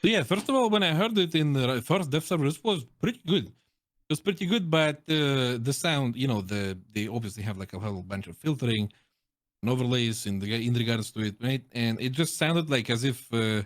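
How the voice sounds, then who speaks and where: A man's voice: mid-range voice, animated, a little fast, dry recording, studio quality. A man with a Russian accent, a native Russian speaker, chatting casually and jokingly as a guest streamer giving his opinions. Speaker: guest, game streamer and content creator. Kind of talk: casual chat, giving opinions on game audio.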